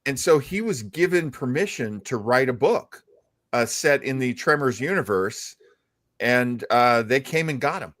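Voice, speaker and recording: A man's voice: mid-range voice, expressive, measured pace, a bit bright, no room sound, clean studio sound. A middle-aged man hosting a live show in casual, chatty conversation. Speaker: host of a live panel show. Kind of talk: casual chat.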